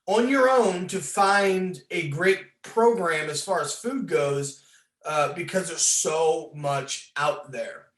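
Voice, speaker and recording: A man's voice: fairly high pitch, slow, fairly bright tone. A man hosting a casual conversational interview, talking in a rambling way with many false starts. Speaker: podcast host, fitness coach interviewer. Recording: roomy, echoing sound.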